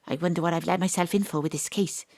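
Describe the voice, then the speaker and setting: An actress plays a world-weary female detective in an audio drama. Her voice sits a little low and is dryly recorded. She narrates with wry, deadpan noir delivery, like someone who has seen it all. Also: quick pace, no room sound, lightly acted. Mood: wry, brooding. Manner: deadpan, dry. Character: female private detective, world-weary, wry, hard-boiled ex-rugby star.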